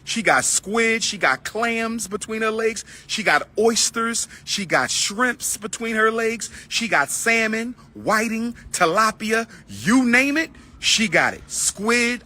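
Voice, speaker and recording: A man's voice: high voice, very animated, a little slow, hardly any pauses, sharp tone. A middle-aged man hosting a livestream, preaching and ranting to viewers he calls brothers and sisters, then chatting with a caller. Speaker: livestream host. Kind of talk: heated livestream rant and caller chat.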